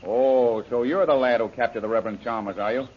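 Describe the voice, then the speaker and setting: A man's voice: mid-range voice, brisk, natural voice. Brave, wry adventurer hero male actor, mid-range voice, forthright, vintage radio serial with dull sound. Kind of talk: old-time radio adventure serial acting. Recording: muffled, noisy old radio recording.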